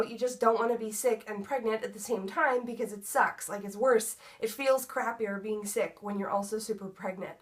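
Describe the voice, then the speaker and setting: A woman's voice: a little high, a bit bright. A young woman vlogging a casual update, her voice congested from a cold, chatty with many ums and likes. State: congested, stuffy nose. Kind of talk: casual pregnancy update vlog.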